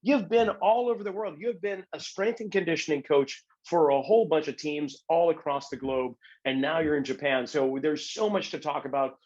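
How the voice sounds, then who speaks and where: A man's voice: fairly high pitch, moderate pace, hardly any pauses, darkish tone, dull audio. A man hosting a podcast, friendly and self-deprecating, often stumbling over and repeating words. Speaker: podcast host. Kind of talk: casual podcast interview.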